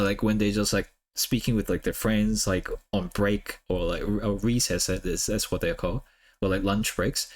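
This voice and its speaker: Easygoing man, lowish voice. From Hong Kong. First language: Cantonese. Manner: rambling, lots of "like" and "uh" fillers. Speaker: streamer. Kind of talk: casual chat while drawing on stream.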